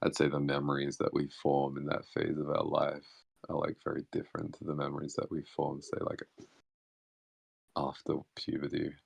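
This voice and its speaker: A man, a guest in an online interview, talking reflectively and haltingly with many fillers; his first language is Hakka Chinese. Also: very deep voice, completely flat delivery, gravelly, breathy edge, no echo. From Australia. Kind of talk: casual reflective conversation. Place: online voice chat.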